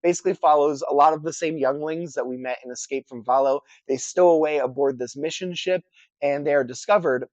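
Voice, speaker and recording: A man's voice: on the high side, brisk, no echo, highs cut off. A man with a congested, nasal voice casually reviewing books he read, chatty with lots of fillers. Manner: relaxed, chatty, filler-heavy with restarts. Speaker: book reviewer. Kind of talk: casual book review vlog.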